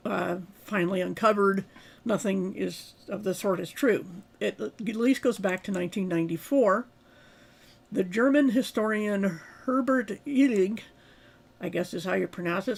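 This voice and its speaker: A woman hosting a livestream with a sore throat, her voice raspy from sinus congestion, clearing her throat often and talking with hesitant fillers. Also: mid-range voice. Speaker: livestream host. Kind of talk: casual livestream talk and article reading.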